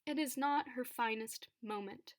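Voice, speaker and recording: A woman reading a children's picture book aloud for storytime, phrasing it deliberately and expressively with dramatic emphasis. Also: a little high. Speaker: storybook reader.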